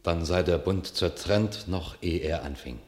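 A grave, loyal knight reports bitter news in a classical radio drama. His voice is low, his delivery stern and declamatory, in formal verse. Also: measured pace, a little mellow. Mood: stern, blunt.

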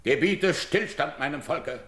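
A man's voice: a little high, lively intonation, a little slow, a bit bright. An actor playing a proud, stern, ageing king who holds back his anger, in weighty declaimed classical verse for radio. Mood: stern, commanding.

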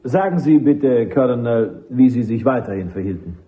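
An actor playing a courteous, methodical military prosecutor questioning a witness in a radio play, polite and formal. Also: male voice, medium pitch, lively intonation, normal speed, mellow, natural voice. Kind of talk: radio drama acting. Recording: tinny, phone-like old radio recording.

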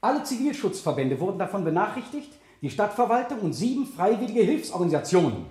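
An actor playing a stern, sardonic prosecutor in a German radio play, cross-examining with precise, insistent, pointed questions. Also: male voice, sits high, very animated, fast talker, darkish tone. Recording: roomy 1970 studio radio play sound.

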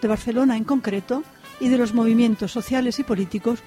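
Female promo announcer, mellow and a touch high, deliberate scripted read. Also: steady tone, faint music behind.

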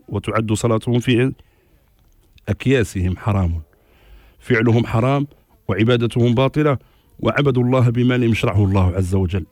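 A man delivers religious rulings on a radio show, quick and authoritative, quoting texts one after another. His voice is dry and close to the mic. He sounds sure and steady throughout. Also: medium pitch, dry recording. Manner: measured, authoritative, cites scripture and scholars. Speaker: religious scholar answering questions.

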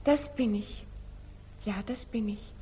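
A wistful young maiden in a 1940s radio play. Her voice is highish, mellow and breathy, with a soft theatrical delivery. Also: slow, heavily acted. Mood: dreamy, wistful. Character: dreamy, lovestruck young maiden insisting she is calm. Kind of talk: 1940s German radio stage acting.

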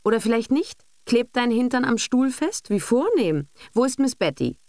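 A woman's voice: fairly high pitch, very animated, moderate pace, a little mellow, no room sound, studio quality, pitched above the performer's own voice. A woman voicing a haughty, irritable bourgeois mother in an audiobook, speaking sternly and sharply with sarcasm. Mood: indignant, sarcastic. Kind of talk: audiobook character acting.

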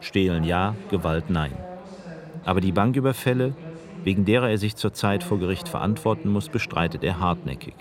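A man narrating a documentary in an even, explanatory way. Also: low voice, moderate pace, a crowd in the background. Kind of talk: scripted narration.

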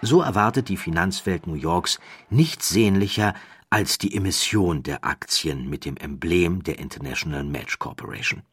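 Deep, husky German male narrator. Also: lively intonation, normal speed, a bit bright, dry recording. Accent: standard German. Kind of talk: scripted documentary storytelling narration.

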